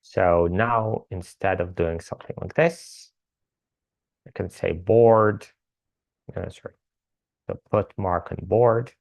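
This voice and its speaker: A man lecturing on code as he writes it, casual and thinking aloud with many fillers, his voice slightly hoarse. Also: deep voice, slow, long pauses, no room sound, highs cut off. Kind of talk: live coding lecture.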